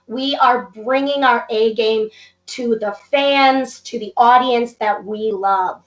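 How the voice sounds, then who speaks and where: A woman guest in a casual interview, a little hoarse, talking in an upbeat, eager and gracious way. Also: fairly high pitch, takes it slowly, roomy sound, lo-fi sound. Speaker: interview guest, wrestling entertainer and actor.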